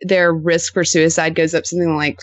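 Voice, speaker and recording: A woman chatting casually as a podcast guest, telling animated anecdotes and acting out reactions in quotes. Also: deep voice, moderate pace, dry recording. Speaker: podcast co-host appearing as guest. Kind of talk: casual interview chat.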